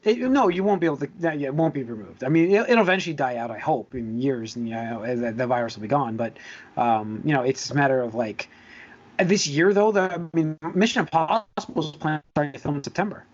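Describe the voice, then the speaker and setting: Higher-pitched man chatting on a podcast. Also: lively intonation, a little fast, muffled recording. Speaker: podcast host. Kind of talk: casual movie-news discussion.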